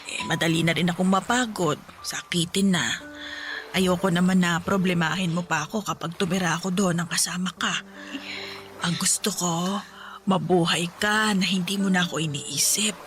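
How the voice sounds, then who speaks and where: A woman's voice: on the low side, fairly even intonation, moderate pace, a bit bright, close to an everyday voice. An actress playing a weary, guilt-ridden old mother in a radio drama, speaking hesitantly and self-effacingly. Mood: resigned. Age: older. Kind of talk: Tagalog radio drama acting. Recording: music under the dialogue.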